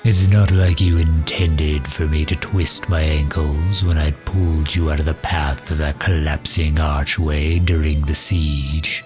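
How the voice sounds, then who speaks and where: A man playing a loyal, sharp-tongued mage advisor in an ASMR roleplay drama, with a dry, sardonic, drawn-out delivery. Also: a bass voice, steady tone, over music. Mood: teasing, sardonic.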